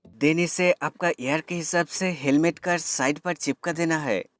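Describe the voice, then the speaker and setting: Male tutorial narrator, somewhat high voice, instructional, drops in English words, light music bed. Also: measured pace, fairly bright tone. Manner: instructional, addresses viewers as friends, mixes in English words. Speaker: tech reviewer giving an installation tutorial. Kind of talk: step-by-step product tutorial voice-over.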